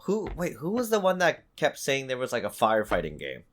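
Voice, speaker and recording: Sweary man with a lively, swooping delivery. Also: mid-range voice, brisk. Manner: sweary, blunt. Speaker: gaming podcast co-host. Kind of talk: casual banter among friends.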